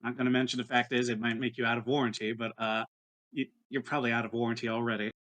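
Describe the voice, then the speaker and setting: A man playing a tabletop game, chatting casually and explaining things in a halting, nerdy, technical way. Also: medium pitch, flat delivery, fairly bright tone. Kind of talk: casual chat.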